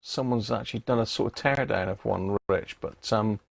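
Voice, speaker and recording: A man's voice: fairly low pitch, normal speed, vocal fry, no echo. A British man chatting casually and rambling on a hobby livestream, often muttering to himself as he tinkers. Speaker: livestream host and radio hobbyist.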